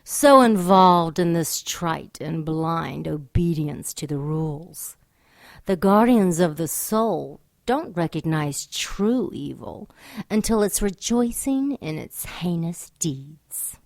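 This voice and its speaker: Here is a solemn, disdainful radio drama narrator. Her fairly low voice moves slowly and deliberately through a scripted monologue, recorded very dry. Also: lively intonation, creaky, dry recording, full character voice. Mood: grave disdain. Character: grave, scornful narrator judging human morality.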